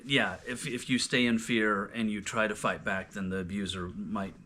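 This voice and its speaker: A man's voice: lowish voice, fairly even intonation, moderate pace, bright, forceful tone. A man hosting a casual, unscripted discussion, often searching for words and restarting his sentences as he goes. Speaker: host of a scripture reading discussion.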